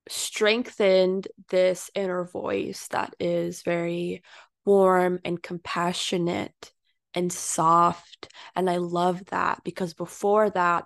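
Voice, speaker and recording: A young woman hosting her own podcast, reflecting aloud in a soft, unhurried way with fillers and restarts. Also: fairly low pitch, creaky, dry recording, clean studio sound. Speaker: podcast host. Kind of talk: reflective solo podcast monologue.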